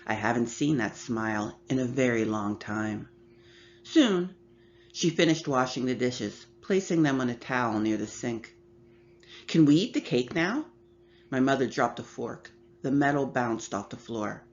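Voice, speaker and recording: Woman narrator for a horror short story, measured delivery, muffled recording. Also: a bass voice. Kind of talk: first-person short story reading. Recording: slightly muffled with faint hum.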